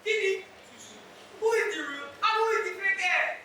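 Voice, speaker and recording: Distant and very echoey, this is a forceful Nigerian court elder, played by a woman on stage. The voice is extremely high, proclaiming with exclamations. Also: moderate pace. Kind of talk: stage play acting, proclaiming. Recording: echoey hall, distant mic.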